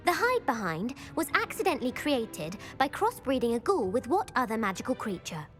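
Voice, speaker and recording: A woman's voice: on the high side, sing-song, normal speed, fairly bright tone, faint music behind. A woman playing an eager, bookish schoolgirl quizmaster in a video game, precise and enthusiastic. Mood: enthusiastic, instructive. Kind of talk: video game character acting.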